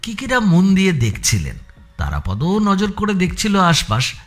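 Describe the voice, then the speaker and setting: A man narrating a scripted suspense audio story, with deliberate, descriptive phrasing. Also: sits high, huge pitch swings.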